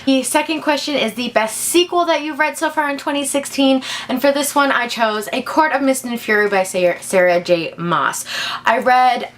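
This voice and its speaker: A young woman with a somewhat high voice speaks as a book vlogger. She chats informally to her viewers in an enthusiastic, gushing way. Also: fairly bright tone. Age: young adult. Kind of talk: casual chat to camera.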